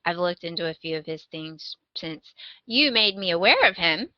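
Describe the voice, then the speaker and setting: A woman's voice: on the low side, lively intonation, moderate pace, dry recording, highs cut off. A woman co-hosting a podcast, chatting casually and giving recommendations. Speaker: podcast co-host.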